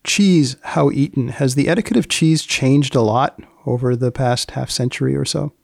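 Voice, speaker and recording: Wry, gravelly male podcast host. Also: mid-range voice, moderate pace, darkish tone, dry recording, clean studio sound. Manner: mock-formal, wry.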